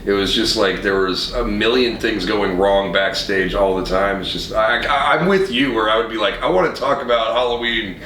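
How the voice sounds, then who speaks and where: A man's voice: on the low side, moderate pace, hardly any pauses, bright, forceful tone. A man hosting a casual podcast chat, talking in an enthusiastic, informal, agreeable and rambling way. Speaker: podcast host. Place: echoey podcast studio. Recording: roomy, distant mic with faint noise.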